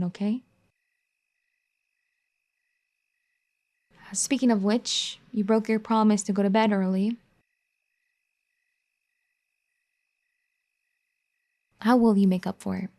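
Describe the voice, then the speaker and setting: A woman voicing a caring, firm young wife in an ASMR roleplay, soft-spoken and gently scolding. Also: medium pitch, fairly even intonation, moderate pace, lightly acted. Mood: teasing tender.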